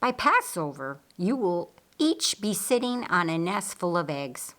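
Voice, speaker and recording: A woman's voice: lowish voice, big pitch swings, unhurried, fairly bright tone. A woman voicing a practical, wry older sister in an audiobook Bible story, matter-of-fact and a little grumbling. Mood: gently firm.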